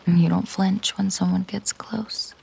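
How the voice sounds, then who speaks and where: A woman playing a possessive, commanding mafia boss girlfriend in an intimate roleplay audio drama, speaking softly and deliberately, often slipping into a whisper. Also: medium pitch, steady tone, gravelly, husky, full character voice. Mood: cool, probing. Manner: slow, deliberate, coolly possessive.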